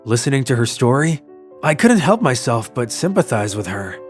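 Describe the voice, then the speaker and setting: A man playing a kind, lovelorn young traveller in an English manga dub, narrating his story and speaking in an earnest, soft-hearted way. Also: mid-range voice, big pitch swings, moderate pace, faint music behind, close to an everyday voice. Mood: reflective.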